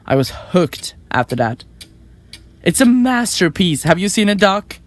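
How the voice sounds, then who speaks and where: A woman playing a flippant, self-important young murderer in an audio drama, glib and quick to get defensive. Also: on the low side, very animated. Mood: smug enthusiasm. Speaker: murderous podcaster in a therapy session. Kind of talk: audio drama acting, conversational.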